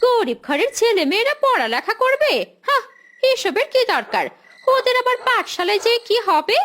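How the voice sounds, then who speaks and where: A woman's voice: very high-pitched, big pitch swings, normal speed, sharp tone, heavily acted. A woman voicing a haughty, scornful well-off village wife who scolds in a children's cartoon. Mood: scornful, irritated.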